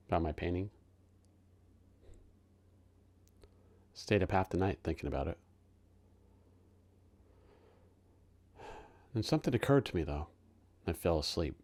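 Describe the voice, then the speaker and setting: A man performing a calm, wounded older therapist in a quiet, pointed and reflective theatrical monologue. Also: low voice. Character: calm, wounded older male therapist, gently confronting a youngster.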